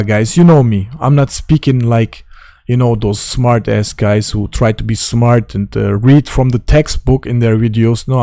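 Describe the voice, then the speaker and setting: A man's voice: medium pitch, warm tone, no room sound, clean studio sound. Blunt non-native man walking through charts. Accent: non-native English, simple phrasing. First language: German or Turkish. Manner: informal, blunt, talks straight to viewers with frequent "guys" and fillers. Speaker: crypto trading educator. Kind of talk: casual screen-share chart lesson.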